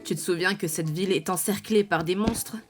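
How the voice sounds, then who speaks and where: Woman as a lowish, deadpan cartoon cowgirl. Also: fairly quick, faint music behind, lightly acted. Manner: deadpan, dry, blunt. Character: deadpan, unimpressed young female cowgirl sidekick. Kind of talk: cartoon fan dub acting.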